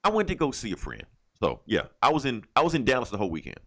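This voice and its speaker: A man's voice: mid-range voice, wildly swooping pitch, racing, fairly bright tone. A man from the United States hosting a casual podcast, telling stories in a joking, profane way. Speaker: comic book podcast host.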